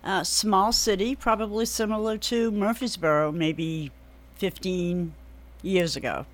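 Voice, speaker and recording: A middle-aged woman with a New England accent answers questions as a guest in a radio studio. She talks in a measured, thoughtful way, often pausing on an um before going on. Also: mid-range voice, steady tone. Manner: measured, hesitant with many ums. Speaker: political candidate, radio guest. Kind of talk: radio interview.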